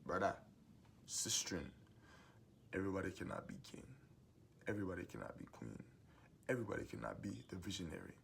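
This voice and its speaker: A young adult man with a booming voice gives a preachy, emphatic motivational monologue as host of his own series. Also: sing-song, brisk, vocal fry, long pauses, fairly bright tone, a little hiss or hum. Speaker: host giving advice monologue.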